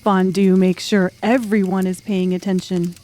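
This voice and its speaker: Woman audiobook narrator, dramatic scripted story, medium pitch, bit of background noise. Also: little pitch movement, moderate pace, mellow.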